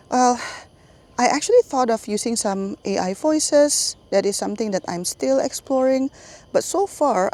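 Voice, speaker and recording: A woman's voice: mid-range voice, a little mellow. A middle-aged woman with a Singaporean English accent, talking casually to her channel's viewers and laughing often mid-sentence. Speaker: YouTube channel host. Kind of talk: casual voiceover chat to viewers.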